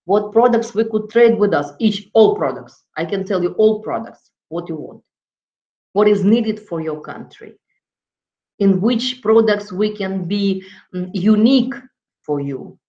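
Slow, halting woman with a Russian accent. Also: medium pitch, expressive, mellow. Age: middle-aged. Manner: halting, searching for words with many fillers. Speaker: guest lecturer. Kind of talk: video-call lecture with questions. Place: office with framed certificates on the wall. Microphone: laptop webcam on a video call. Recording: dull lo-fi video call audio.

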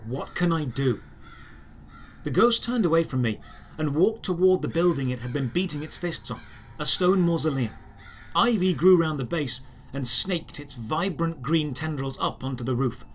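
A man reading dramatic first-person fantasy narration as a storyteller, measured, with deliberate pauses. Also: on the high side, lively intonation, a little hiss or hum. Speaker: writer and narrator.

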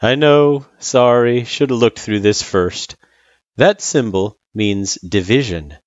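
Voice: medium pitch, slow pace, no echo, lo-fi sound, pitched above the performer's own voice, natural voice. A storyteller voicing a laid-back, kindly male figure who tutors in a casual, slangy and patient way. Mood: apologetic, easygoing.

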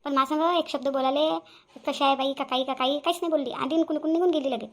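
A woman as a shrill, nagging Varhadi grandmother. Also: fairly even intonation, fast talker. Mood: offended. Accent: Vidarbha Marathi (Varhadi). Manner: nagging, complaining, muttering asides. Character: proud, grumbling, boastful grandmother and mother-in-law. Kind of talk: cartoon family drama dialogue.

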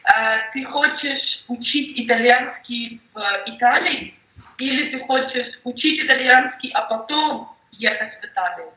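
A young woman speaking slowly and clearly on a video call, encouraging her partner and supplying missing words. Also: on the high side, roomy sound, highs cut off. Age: young adult. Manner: encouraging, prompts and corrects words. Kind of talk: slow, clear conversation practice. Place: home office indoors.

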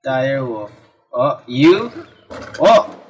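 A man plays a video game and talks himself through it at an unhurried pace. His commentary is casual and reactive, full of exclamations, with game music faintly behind him. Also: mid-range voice, big pitch swings. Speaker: let's play gamer.

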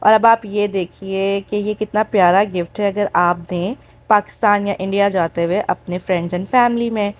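A woman's voice: mid-range voice, a little mellow. A woman giving a casual, chatty vlog voice-over of a shop tour, talking directly to viewers and mixing in English words. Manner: mixes English words into speech. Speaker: shopping vlogger.

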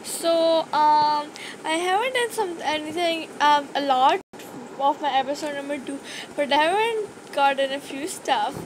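A young woman with a high voice chats through her game with a sore throat, full of fillers and restarts. The recording is noisy, with a steady hiss behind her voice. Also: a little slow. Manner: rambling with many fillers and restarts. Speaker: gaming channel host. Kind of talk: casual gameplay commentary. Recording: noisy screen-recorded mic audio.

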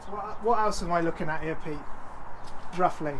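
A man's voice: fairly high pitch, brisk, a bit bright, slight background noise. A young man hosting a farm vlog, asking curious questions in casual chat, recorded close to the camera in a combine cab and farmyard.

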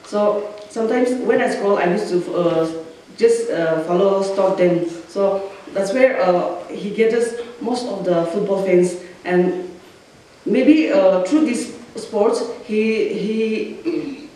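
A woman's voice: medium pitch, slow, warm tone, distant mic. A young woman with a Northeast Indian accent giving an informal motivational speech as a guest, hesitating and searching for words. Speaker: guest speaker, youth and sports officer. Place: echoey indoor hall, on a stage. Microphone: microphone on a stand. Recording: very echoey hall, off-mic, faint noise.

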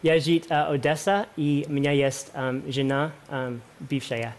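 A man's voice: a little high, fairly even intonation, unhurried. American man in his forties, English native, hesitant, stop-start, lots of um and ah, lapel mic in a TV studio. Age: 40s. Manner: hesitant, many fillers, short replies. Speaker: show guest. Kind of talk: halting answers in broken Russian. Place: large television studio.

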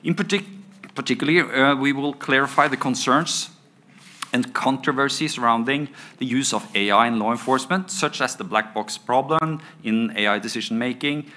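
Middle-aged guy introducing panelists, bright tone, lots of uhs. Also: medium pitch, moderate pace. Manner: reads from notes with many fillers and restarts. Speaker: panel moderator. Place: conference panel stage indoors. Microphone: table microphone on stand.

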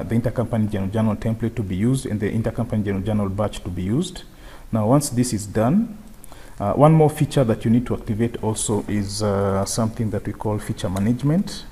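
A man presenting an unscripted software tutorial, explaining step by step and often repeating his phrases. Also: on the low side, husky. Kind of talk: unscripted screen-share tutorial.

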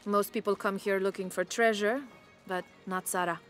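A woman performs an easygoing young local character for a video game. She talks in a casual, friendly way at a medium pitch. Faint music sits behind her. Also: fairly even intonation, normal speed, fairly bright tone, close to an everyday voice. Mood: fond, casual. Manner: casual, chatty. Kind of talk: conversational video game dialogue.

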